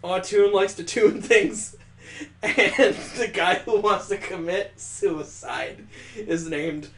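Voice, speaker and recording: A man hosts a gaming video in a somewhat high-pitched voice. He comments on the story as he reads it, with a joking, sarcastic manner that pokes at the game. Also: slow pace, vocal fry, fairly bright tone, echoey room. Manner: sarcastic, mocking asides. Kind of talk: let's play reading aloud with joking asides.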